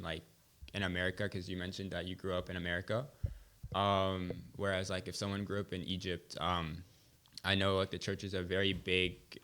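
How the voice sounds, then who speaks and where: Young host, very low voice, relaxed, lots of ums. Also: male voice. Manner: laid-back, hesitant with fillers. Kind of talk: casual conversation.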